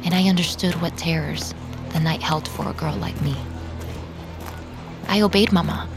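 An actress playing a reflective, haunted female vampire, narrating an audio drama in a wistful, measured way. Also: deep voice, steady tone, music in the background, close to an everyday voice. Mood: somber.

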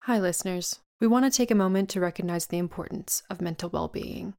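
A woman's voice: on the low side, fairly quick, warm tone. A woman giving a scripted podcast announcement as an announcer.

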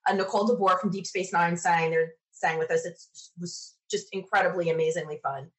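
A woman's voice: on the low side, fairly even intonation, brisk, poor audio. A woman from the United States chatting enthusiastically as a podcast interview guest, telling stories in a casual way. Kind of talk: casual interview chat, animated anecdotes.

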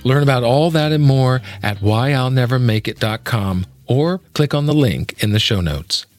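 A man's voice: medium pitch, animated, normal speed. Middle-aged man presenting a podcast over music. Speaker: podcast host, actor and singer. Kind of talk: scripted podcast introduction and narration, interview.

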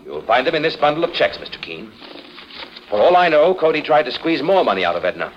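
An actor playing a bitter, self-assured widowed husband, speaking with formal insistence in a 1940s radio drama. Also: male voice, fairly high pitch, sing-song, fast, a little hiss or hum. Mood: accusing. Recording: muffled vintage 1940s radio recording.

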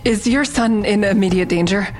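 A woman's voice: medium pitch, normal speed, a little mellow, soft background music, natural voice. An actress playing a guarded, uneasy female counselor who narrates her own story in an intimate, restrained audio drama style. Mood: guarded, professional.

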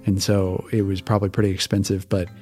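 Mellow-voiced man telling stories. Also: low voice, fast, soft background music. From Hawaii. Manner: relaxed, laughs often, restarts words. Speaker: guest. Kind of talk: casual interview storytelling.

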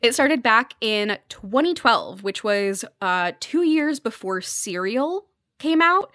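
A woman's voice: on the high side, animated, slow, sharp tone, no room sound, clean studio sound. A woman guest on a podcast, explaining pop culture in an enthusiastic, conversational way.

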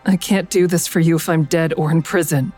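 A woman's voice: sits low, fairly even intonation, a little fast, warm tone, faint music behind. Wary woman in trouble, earnest and confiding, guilt-laden, audio drama. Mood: earnest, anxious. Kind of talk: full-cast audio drama acting and inner narration.

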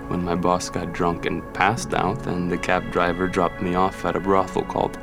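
An actor playing a melancholy, awkward businessman with a hesitant, halting delivery in an intimate audio drama. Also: low voice, little pitch movement, gravelly. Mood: wistful, confessional. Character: melancholy, awkward businessman confiding his past. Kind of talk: intimate audio fiction acting. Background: music.

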